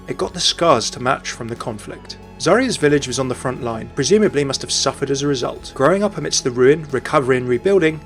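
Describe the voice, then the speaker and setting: A man hosting and narrating a video series in a scripted, explanatory style about game lore. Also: mid-range voice. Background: faint music.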